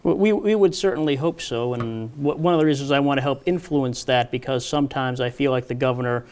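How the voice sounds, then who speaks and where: A man, a political candidate answering interview questions in a loud, brisk, no-nonsense way. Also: medium pitch.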